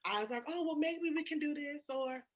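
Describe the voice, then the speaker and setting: A woman's voice: high-pitched, brisk. A woman in a candid casual conversation, speaking emphatically and swearing freely. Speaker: podcast guest.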